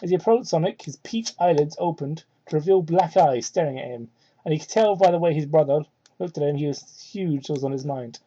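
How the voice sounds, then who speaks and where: A woman's voice: brisk, dark tone, slightly rough. A woman reading fan fiction aloud as an amateur, breaking off for irreverent, sweary asides, on a poor microphone. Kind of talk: dramatic reading with mocking asides. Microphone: cheap microphone. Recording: muffled low-quality microphone.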